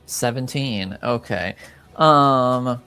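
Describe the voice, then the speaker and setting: A man improvising narration as game master of a tabletop game, halting often as he searches for words. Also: mid-range voice, sharp tone, clear, resonant tone, soft background music.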